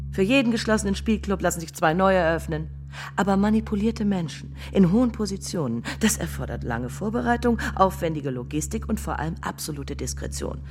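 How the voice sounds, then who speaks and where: An actor in a radio play playing a shrewd former spy who explains strategy in a methodical, matter-of-fact way. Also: male voice, a little high, a little hiss or hum. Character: shrewd, worldly ex-spy turned mercenary strategist.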